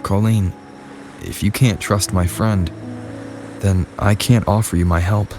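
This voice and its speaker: An actor voicing a calm, stern, principled man in a video game, speaking in a measured, firm way. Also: on the low side, lightly acted. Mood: firm, cautioning. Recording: studio voice under game music.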